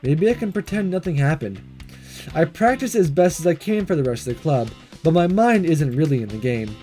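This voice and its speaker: A man reading a visual novel aloud as a gaming host, mixing expressive narration with casual commentary. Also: on the high side, fairly quick, soft background music.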